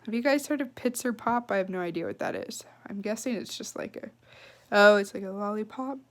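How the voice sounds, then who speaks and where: A woman reading a children's novel aloud with expressive, emphatic phrasing and casual asides. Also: medium pitch, fairly quick. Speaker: read-aloud storyteller.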